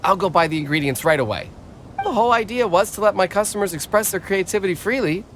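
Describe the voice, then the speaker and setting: A man's voice: high voice, quick pace, a bit bright, slight background noise. An actor voicing an earnest, enthusiastic young male stall owner who is proud of his creative cooking. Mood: eager. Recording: game audio with faint music behind.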